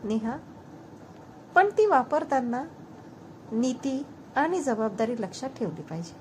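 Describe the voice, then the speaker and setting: Woman reading as earnest, slow-spoken male scientist. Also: high voice, very animated, a little mellow, slight background noise. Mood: solemn. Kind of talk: storybook narration dialogue. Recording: music under the voice.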